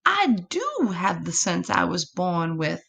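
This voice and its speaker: A woman's voice: fairly low pitch, sing-song, takes it slowly, vocal fry, fairly bright tone, heavily acted. A woman voicing a plucky, boastful little boy possum for a children's storybook, in eager, breathless exclamations. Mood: boastful, excited.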